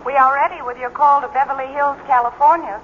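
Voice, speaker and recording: An impersonal actress playing a woman telephone operator from old radio drama. The voice is high, brisk and formally singsong. It comes through dull sound with a little noise. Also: steady tone, lightly acted. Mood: businesslike. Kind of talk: 1940s-50s radio drama acting.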